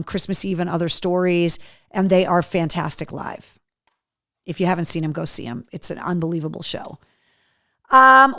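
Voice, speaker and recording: Chatty radio host woman with a deep, worn voice. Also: dry recording, clean studio sound. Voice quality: deeper, worn-in voice from years of talking. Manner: chatty, upbeat, with frequent ums and asides. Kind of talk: casual live-show announcements.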